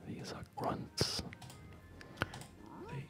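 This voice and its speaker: An ASMR host whispering an unhurried, hesitant commentary while playing a strategy game. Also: music in the background. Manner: hesitant, unhurried, softly rambling.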